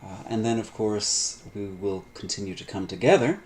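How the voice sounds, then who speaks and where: A middle-aged man giving a recorded online lecture on biblical Greek, measured and full of "uh" fillers, stretching out key terms. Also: on the low side, darkish tone, a little hiss or hum. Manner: measured, frequent "uh" fillers, drawn-out emphasis on terms. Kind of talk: recorded online lecture over textbook pages.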